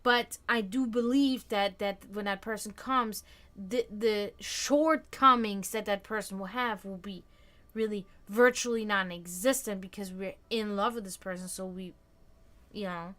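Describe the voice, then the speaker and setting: A woman in her thirties hosting a casual live stream, talking frankly and reflectively and often letting her sentences trail off quietly. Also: mid-range voice, steady tone, a little slow. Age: 30s. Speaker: stream host.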